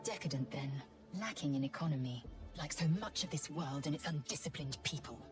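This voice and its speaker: A disdainful alien warrior from a fantasy video game. Her voice is very low for a woman, her delivery clipped and formal. Loud music plays behind her. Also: animated, fairly quick. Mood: cold disdain. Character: haughty, blunt alien Githyanki warrior woman.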